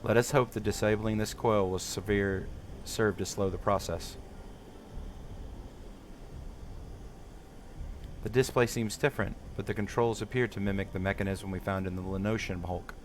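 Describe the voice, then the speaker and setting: A man's voice: fairly low pitch, steady tone, brisk, hardly any pauses, slight background noise, close to an everyday voice. A man voicing an earnest, determined young female adventurer from a fantasy game, in formal, old-fashioned speech. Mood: calm, businesslike.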